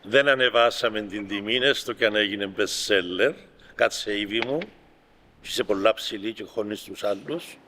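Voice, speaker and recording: A man with a Greek Cypriot accent preaching as an Orthodox bishop, reading aloud and commenting in deliberate, emphatic short phrases. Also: on the low side, sharp tone, slight background noise. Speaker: Orthodox bishop giving a homily. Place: large reverberant room at a gathering. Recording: reverberant hall with long echo.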